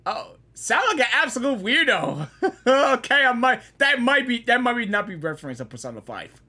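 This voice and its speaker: A woman giving casual, excitable, exclamatory live reactions as a gaming video creator. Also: fairly high pitch, normal speed.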